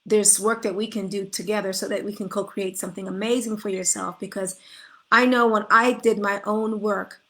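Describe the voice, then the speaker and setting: Earnest middle-aged woman coach, spiritual pep talk, stumbles and restarts a lot, medium pitch. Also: steady tone, moderate pace, a bit bright. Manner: earnest, inviting, spiritual phrasing with repeated restarts. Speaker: life coach. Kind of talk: motivational direct-to-camera talk.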